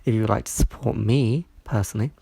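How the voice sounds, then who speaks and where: Stuffy, gravelly-voiced video reviewer. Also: mid-range voice, dark tone, no echo. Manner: measured, reads from a script with small slips. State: stuffy from hay fever. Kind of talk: scripted review narration.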